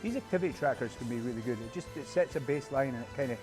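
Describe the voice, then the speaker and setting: A man in his late forties talking candidly about fitness in an interview, relaxed and full of fillers. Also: medium pitch, racing, over music. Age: 40s. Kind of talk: interview sound bites.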